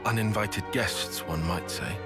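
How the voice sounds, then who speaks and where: A man's voice: fairly low pitch, unhurried, over loud music, lightly acted. A man playing a wary young soldier in a game, with a dry, sardonic, grudging manner. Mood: dry irritation. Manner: sardonic, clipped, exasperated.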